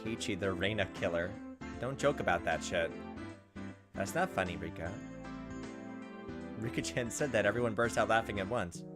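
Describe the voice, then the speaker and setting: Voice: deep voice, normal speed, pauses often. Casual let's player with game music behind. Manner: reacts with asides to the story. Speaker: let's player reading a visual novel aloud. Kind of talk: casual gameplay commentary mixed with narration read aloud.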